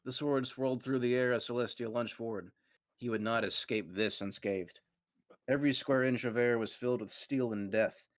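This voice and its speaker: A man's voice: medium pitch, steady tone, normal speed, no echo, studio quality. A man narrating a comic fan fiction reading with dramatic storytelling flair. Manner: dramatic storytelling read aloud, occasional stumbles.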